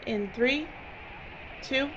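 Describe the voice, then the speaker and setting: A woman playing a curt, exasperated, sharp-tongued documentary director in an audio drama. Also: sits high, extremely slow. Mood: commanding, impatient. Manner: curt, commanding, sardonic. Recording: muffled, clearly noisy outdoor ambience.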